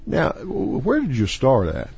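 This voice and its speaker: A gravelly man with a dark, husky voice hosts an interview. He asks folksy, rambling questions packed with "uh" and "um". The audio is dull and lo-fi. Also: mid-range voice, wildly swooping pitch, measured pace. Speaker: interview host. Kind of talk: conversational interview.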